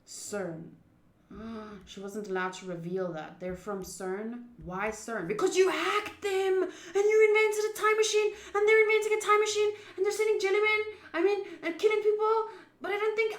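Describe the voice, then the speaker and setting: A young woman with an expressive, chatty manner, reading a visual novel aloud and commenting as she plays. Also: very high-pitched, normal speed. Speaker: streamer playing a visual novel. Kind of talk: gaming commentary, reading story text aloud. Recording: roomy, echoing voice.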